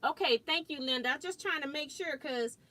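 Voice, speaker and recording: Loud, bright-voiced woman sewing host. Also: high voice, a little fast. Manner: chatty, thinks aloud while working, chuckles often. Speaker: quilting and sewing livestream host. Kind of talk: casual live demo chat. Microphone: built-in laptop mic about four feet away.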